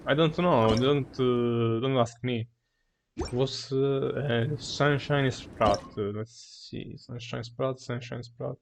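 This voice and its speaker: A man streaming a video game with casual commentary, muttering to himself in a halting way. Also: mid-range voice, steady tone, creaky. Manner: muttering, halting, trailing self-talk. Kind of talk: casual live gameplay commentary. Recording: faint noise and clicks.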